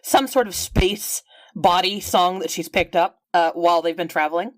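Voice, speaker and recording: A woman's voice: fairly low pitch, moderate pace. Woman casually narrating her game character. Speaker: player in a tabletop roleplaying game. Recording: music playing behind the voice. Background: background music.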